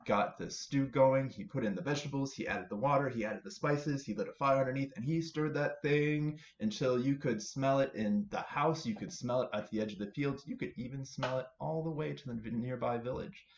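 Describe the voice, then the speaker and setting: A man's voice: mid-range voice, brisk, few pauses. A man telling a story to children as a library storyteller, with playful repetition and emphasis. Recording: roomy, slightly dull recording with faint noise.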